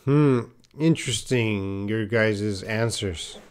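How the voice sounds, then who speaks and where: A man's voice: on the low side, slow pace, a little hiss or hum. A man teaching English in a live online lesson, patiently reading out viewers' answers and correcting them with repeated example phrases.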